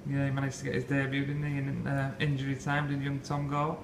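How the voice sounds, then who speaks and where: A man asking a football manager steady questions for the club after a match. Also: medium pitch, a little fast. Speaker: club interviewer. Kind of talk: post-match interview questions. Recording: echoey room, noisy.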